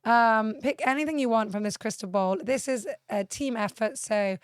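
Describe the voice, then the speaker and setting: A woman's voice: on the high side, moderate pace, smooth, no room sound, studio quality. A young woman hosting a casual interview podcast, chatty, upbeat and teasing. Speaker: podcast host.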